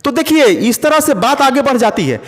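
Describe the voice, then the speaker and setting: Man, English teacher, slow word-by-word drilling, reverberant hall. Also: extremely high pitch, big pitch swings. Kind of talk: classroom lesson.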